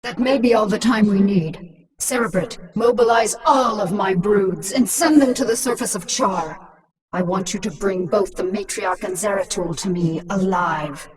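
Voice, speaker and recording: A woman's voice: medium pitch, moderate pace, distant mic, a crowd in the background, lightly acted, low-quality recording. A woman playing a cruel, imperious alien swarm queen in a video game, commanding and taunting. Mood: cold, commanding.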